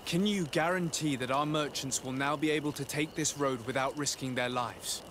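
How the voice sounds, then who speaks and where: A man playing a calm, courteous noble envoy in a video game, speaking in a measured, formal, conciliatory way. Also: medium pitch, noisy background, close to an everyday voice. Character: calm diplomatic male legate, courteous young noble envoy. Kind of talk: scripted video game cutscene dialogue.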